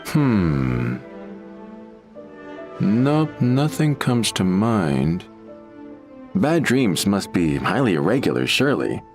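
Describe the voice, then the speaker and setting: Slow dreamy fisherman. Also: on the low side. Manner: dreamy, relaxed, airy. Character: cheerful middle-aged fisherman, fearful of the sea. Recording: game audio with music underneath.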